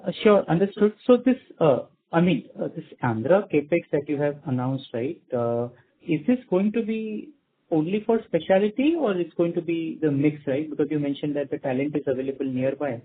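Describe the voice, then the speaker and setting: A man's voice: on the high side, animated, moderate pace, breathy edge. A male analyst with an Indian accent politely asking questions on a business call, often checking his phrases with a questioning tag. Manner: polite, tags phrases with "right?". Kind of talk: spontaneous questioning on an earnings call. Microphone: phone call. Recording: thin narrowband phone line.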